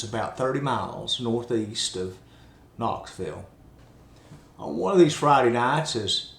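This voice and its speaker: An older man from East Tennessee telling stories in a wry, measured way, with formal diction. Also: medium pitch. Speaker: storyteller. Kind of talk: folksy scripted storytelling. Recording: roomy echo.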